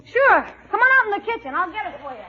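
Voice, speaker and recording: A woman playing a lively, earnest youngster in a 1940s radio family comedy. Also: very high voice, fast, heavily acted. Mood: cagey, lively. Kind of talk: 1940s radio sitcom acting. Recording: muffled, noisy 1940s radio recording.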